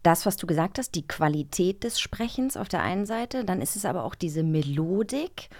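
A woman's voice: lowish voice, animated, moderate pace, a little mellow. A woman hosting a podcast in casual, conversational interview style. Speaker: podcast host.

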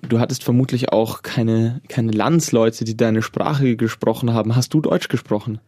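A male interviewer asking plain, direct questions. His voice is dark and recorded dry and close. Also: mid-range voice, steady tone, moderate pace, dry recording.

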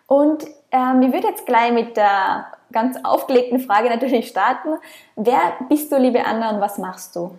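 A woman's voice: a little high, normal speed, darkish tone. A woman from Austria hosting a podcast, introducing her guest with upbeat enthusiasm. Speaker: podcast host. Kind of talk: podcast interview.

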